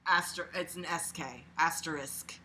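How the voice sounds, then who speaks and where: A woman reading a book aloud as a host, casual and expressive, breaking off for sarcastic, sweary, mocking asides. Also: deep voice, unhurried.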